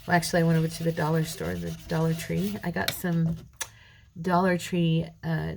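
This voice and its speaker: Woman, tired gravelly voice, low-pitched, chatty craft host, noisy home recording. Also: completely flat delivery, moderate pace. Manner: chatty, rambling, talks to viewers while working; mutters softly to herself while working. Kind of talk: casual crafting tutorial chat.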